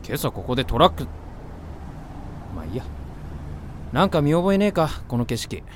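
Grumpy young man played by a woman, low register, blunt masculine speech, swinging intonation, audio drama acting, slight background noise. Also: sing-song, normal speed. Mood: grumbling. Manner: rough, blunt masculine speech, exasperated retorts. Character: grumpy but kind-hearted young man haunted by a ghost. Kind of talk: Japanese amateur voice drama acting.

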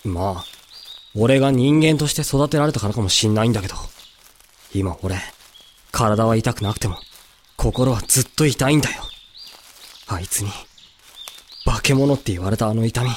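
An actor playing a hot-headed young male werewolf raised among humans, talking in rough, boyish casual speech in a voice drama. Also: mid-range voice, moderate pace, pauses often, a little hiss or hum, close to an everyday voice. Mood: pained. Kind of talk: Japanese amateur voice drama acting.

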